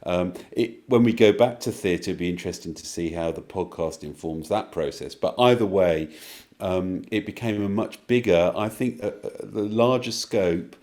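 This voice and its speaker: Deep-voiced, mellow man thinking aloud. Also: moderate pace. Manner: hesitant, thoughtful, circling through rephrasings. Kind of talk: reflective interview answer.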